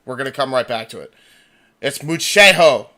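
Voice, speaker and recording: A man's voice: medium pitch, lively intonation, normal speed, sharp tone. A man co-hosting a livestream in casual, sweary, jokey banter. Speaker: livestream co-host.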